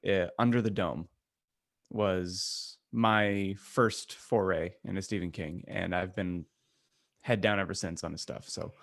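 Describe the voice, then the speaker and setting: A man talks casually as a podcast co-host. His voice sits fairly low, and the sound is dry, with very little room on it. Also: fairly even intonation, a little slow, creaky, fairly bright tone, no room sound. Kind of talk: casual book-club podcast chat.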